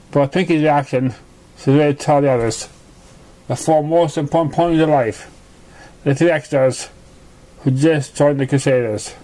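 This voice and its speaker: A man reading a story aloud as narration, halting and often stumbling over the words. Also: medium pitch, warm tone, low-quality recording. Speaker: amateur fan fiction reader.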